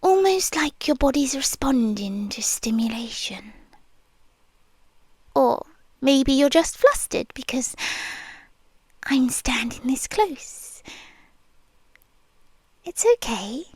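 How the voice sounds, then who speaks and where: British woman doing a possessive yandere nurse, high soft voice, very slow, close-mic whispers, dry and intimate. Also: slightly rough, no echo, exaggerated voice. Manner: slow, intimate, teasing purr with frequent whispers. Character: obsessive possessive young female nurse, sweetly controlling. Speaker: ASMR roleplay creator. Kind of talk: ASMR roleplay, soft-spoken and whispered.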